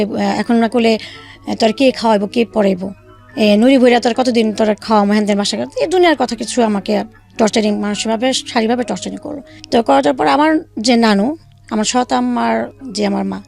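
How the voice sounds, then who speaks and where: A woman telling her own life story in a halting way, with many fillers and restarts. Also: fairly high pitch, vocal fry, darkish tone. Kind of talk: emotional personal storytelling.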